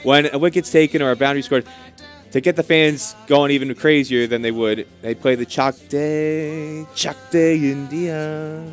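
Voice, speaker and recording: A man's voice: mid-range voice, fairly even intonation, fairly quick, faint music behind. A man doing live cricket commentary in an enthusiastic, talkative way, explaining things as he goes. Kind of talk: live sports commentary with chatty asides.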